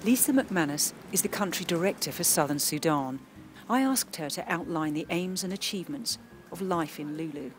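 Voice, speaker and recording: A woman with a British accent narrating a documentary about radio drama training in a measured, informative way. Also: low-pitched, lively intonation, noisy background.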